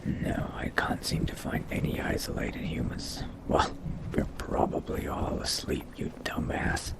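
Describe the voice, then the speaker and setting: A man voicing a wary, hungry undead vampire in a game roleplay, muttering to himself in a breathy, gravelly whisper. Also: close to an everyday voice. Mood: wary, stealthy. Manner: slow, hushed, muttering to himself. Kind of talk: in-character video game roleplay narration.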